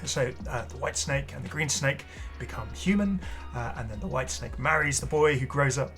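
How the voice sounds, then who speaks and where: Male gaming video host, casual and unscripted, halting and rambling, mid-pitched, faint music underneath. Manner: hesitant, rambling, apologetic asides. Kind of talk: casual off-the-cuff commentary.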